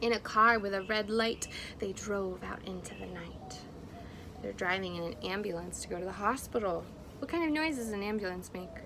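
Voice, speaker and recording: A woman teacher doing an interactive picture-book read-aloud for young children, expressive and encouraging. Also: medium pitch, moderate pace. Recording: clearly noisy background.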